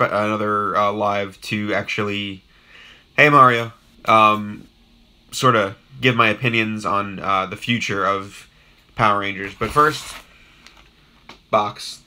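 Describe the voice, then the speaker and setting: Hoarse, bright-voiced man chatting on a live stream. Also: fairly low pitch. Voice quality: raspy. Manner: rambling, with many ums and restarts, greeting viewers in chat. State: hoarse from a sore throat. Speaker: YouTube host doing a live unboxing. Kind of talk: casual live-stream chat.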